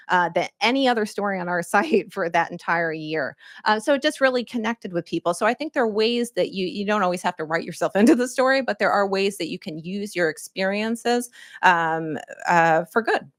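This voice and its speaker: A middle-aged woman with a lower voice shares personal stories on a conference panel. She is reflective and uses many fillers. The sound is dry and close to the microphone. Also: no echo. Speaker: panelist, journalist. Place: conference room.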